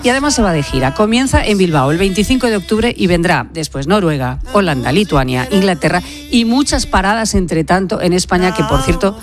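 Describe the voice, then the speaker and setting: A radio host introducing a guest in a smooth, upbeat presenting style. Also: mid-range voice, expressive, a little fast, few pauses, music in the background.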